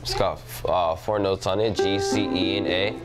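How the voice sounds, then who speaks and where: A man's voice: sits low, steady tone, moderate pace, over loud music. A young man from Hawaii's Big Island, an ukulele player, answering interview questions in an easygoing way inside an ukulele shop. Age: young adult. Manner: easygoing, fond of "you know".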